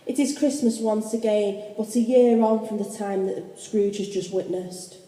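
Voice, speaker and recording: A woman's voice: mid-range voice, steady tone, measured pace, mellow, natural voice. A woman playing the solemn narrator of a ghost story in a scripted radio play. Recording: distant echoey hall, faint noise.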